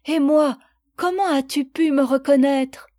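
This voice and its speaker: A man's voice: very high-pitched, measured pace, darkish tone, pitched above the performer's own voice. A woman voicing a tender, impetuous father and husband back after a long absence, speaking in emphatic, pleading exclamations. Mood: tender, joyful.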